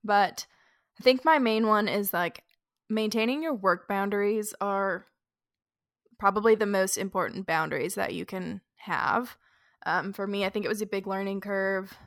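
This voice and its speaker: A woman's voice: mid-range voice, moderate pace, dry recording, studio quality. A woman in her twenties co-hosting a podcast, chatting casually and upbeat, full of "like" fillers. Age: 20s. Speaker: podcast co-host. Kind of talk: casual chat.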